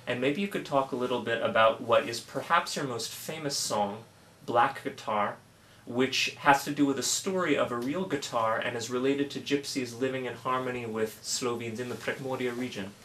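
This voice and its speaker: A man hosting an interview, reading a formal, measured introduction and then asking polite questions. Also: medium pitch, fairly bright tone. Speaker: interviewer, author.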